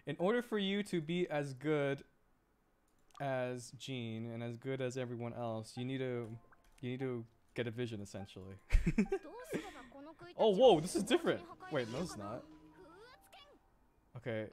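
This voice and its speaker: A man's voice: mid-range voice, big pitch swings, normal speed, pauses often, a little mellow. A man chatting casually as he livestreams a game, giggly and easily amused as he reacts. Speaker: livestreamer, VTuber host. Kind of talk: casual game stream chat, reacting to play and chat. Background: game music.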